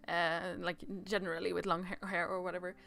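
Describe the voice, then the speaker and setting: A woman's voice: fairly low pitch, level tone, fairly quick, creaky, a bit bright. A woman on a livestream playthrough, chatting casually and reading aloud in a playful, giggly manner. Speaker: livestream game player.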